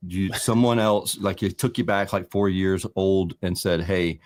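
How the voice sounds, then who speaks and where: Low-voiced man, joking podcast host. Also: fairly even intonation, unhurried. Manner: teasing, joking. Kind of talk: casual podcast introduction and banter. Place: remote online podcast call.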